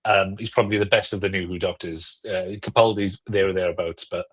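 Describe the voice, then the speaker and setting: A man's voice: low-pitched, fairly even intonation, brisk, a bit bright. A man chatting casually and enthusiastically as a podcast panelist, emphatic and often repeating himself for effect. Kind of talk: casual fan chat.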